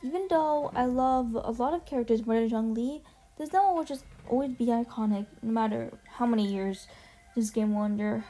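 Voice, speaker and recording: A young woman giving casual, gushing ranking commentary in a voice-over, slangy and quick to swear. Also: on the high side, dark tone, low-quality recording. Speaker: video essay host. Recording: music behind the voice. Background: music.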